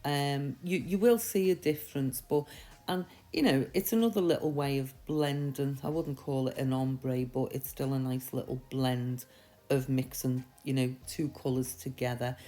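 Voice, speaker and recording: A woman with a slightly croaky voice from a mild sore throat, giving a chatty, reassuring, step-by-step tutorial. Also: a bass voice, steady tone, normal speed, dark tone. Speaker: nail art tutorial host. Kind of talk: casual step-by-step tutorial voice-over.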